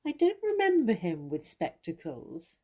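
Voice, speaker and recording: An actress playing a famous, worldly stage star who speaks in a measured, composed and condescending way. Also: female voice, lowish voice, wildly swooping pitch, mellow, exaggerated voice. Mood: cool, composed. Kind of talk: stage-style dramatic dialogue.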